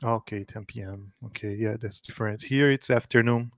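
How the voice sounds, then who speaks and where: A Brazilian man with a Brazilian Portuguese accent hosting a casual live stream, chatty and laughing often, a little halting when he speaks English. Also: lowish voice, steady tone, no room sound. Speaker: host practicing English with strangers.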